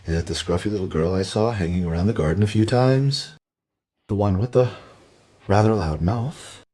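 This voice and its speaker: Husky, deep-voiced grieving father. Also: moderate pace, warm tone. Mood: guarded, subdued. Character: grieving, well-off father, subdued and polite. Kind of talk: crime radio play acting.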